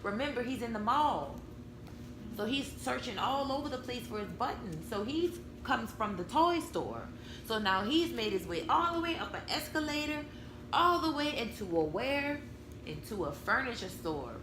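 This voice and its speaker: A woman reads a storybook to small children in an expressive, explanatory way. Her voice is fairly high, with wide, lively swings in pitch. It is recorded in an echoey room. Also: normal speed. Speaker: mother reading to her young children. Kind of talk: storybook read-aloud with simple explanations and questions. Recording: echoey room with background noise.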